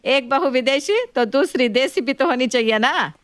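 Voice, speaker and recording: A woman's voice: high-pitched, lively intonation, moderate pace, dry recording, voice pushed up. A woman playing a mild, indulgent older mother-in-law soothing the family in a story. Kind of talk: story dialogue.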